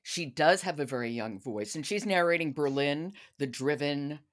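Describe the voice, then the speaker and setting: A woman's voice: low-pitched, normal speed. A woman hosting a podcast, chatting and asking questions in a conversational book discussion. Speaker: podcast host.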